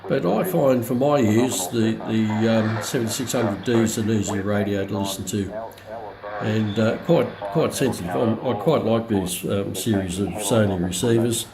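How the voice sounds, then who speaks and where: A man giving a casual, unscripted product demonstration as presenter, halting and searching for words. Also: fairly low pitch, vocal fry, a crowd in the background. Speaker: presenter of a hobbyist radio review video. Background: radio broadcast voices playing from the demonstrated receiver.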